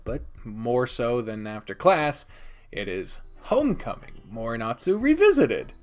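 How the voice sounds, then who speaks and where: A man hosts a casual countdown of games, sharing opinions bluntly and swearing freely. His mid-pitched voice moves up and down with a lot of animation. Soft music plays faintly behind him as he goes between scripted points and loose asides. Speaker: video host reviewing and ranking games.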